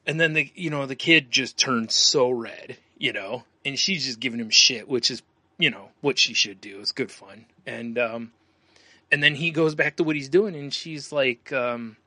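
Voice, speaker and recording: A man's voice: medium pitch, moderate pace, bright, forceful tone, dry recording. A man co-hosting a podcast, telling casual comedic anecdotes in a joking way, often chuckling. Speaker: podcast co-host.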